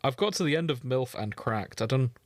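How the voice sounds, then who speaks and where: A man's voice: mid-range voice, animated, a little fast, dry recording. A man co-hosting a casual podcast, chatting in a jokey, irreverent and sweary way. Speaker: podcast co-host. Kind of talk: casual banter.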